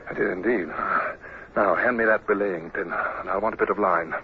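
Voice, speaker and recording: An actor playing an anxious but decisive young British naval captain in a radio drama, clipped and hesitant, with a British accent. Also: male voice, low voice, moderate pace. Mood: flustered, brisk. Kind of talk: old-time radio drama acting. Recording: muffled, noisy old radio recording.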